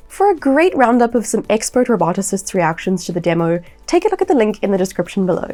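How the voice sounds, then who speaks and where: Woman doing a scripted news roundup, lively up and down delivery, bit of music behind. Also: medium pitch. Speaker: presenter of a weekly tech news roundup.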